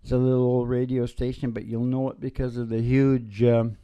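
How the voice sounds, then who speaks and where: A man's voice: medium pitch, little pitch movement, normal speed, warm tone, clean tone. A man hosting a live radio show with rambling, folksy, playful chat, often repeating his phrases. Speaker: radio show host and singer. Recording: guitar music behind the voice. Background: acoustic guitar.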